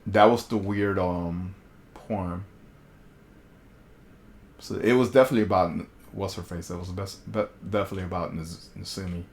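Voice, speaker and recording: A man livestreaming a game, chatting casually in slangy, animated commentary and reading on-screen text aloud. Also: low-pitched, moderate pace, long pauses.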